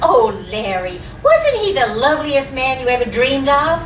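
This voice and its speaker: High, gushing, dreamy wife, played by an actress. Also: female voice, sing-song, normal speed. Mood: dreamy, excited. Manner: gushing, theatrical. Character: dreamy, chatty, optimistic wife of a realtor. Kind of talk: live radio comedy acting. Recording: echoey hall, distant mic, faint noise.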